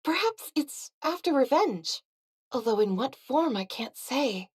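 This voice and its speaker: A voice actress playing a level-headed female squad member who speaks briskly and directly. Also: high voice, sing-song. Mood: earnest.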